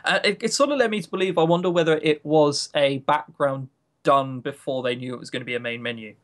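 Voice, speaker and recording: A man's voice: a little high, brisk. A British man co-hosting a podcast, chatting casually in a rambling, opinionated, self-mocking way about what he has played. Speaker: podcast co-host. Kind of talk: casual chat about visual novels.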